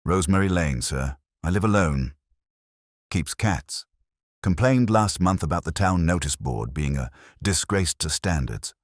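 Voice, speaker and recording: A man's voice: low voice, lively intonation, measured pace, dry recording, studio quality. A man voicing a dutiful police sergeant in a mystery story, clipped, matter-of-fact and deferential. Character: dutiful male police sergeant reporting to his superior. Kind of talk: audio mystery story dialogue.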